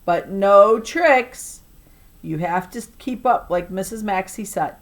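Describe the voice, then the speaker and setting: A woman's voice: medium pitch, slow pace, lightly acted. Woman reading as a weary, firm young mother. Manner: guarded, mildly ordering.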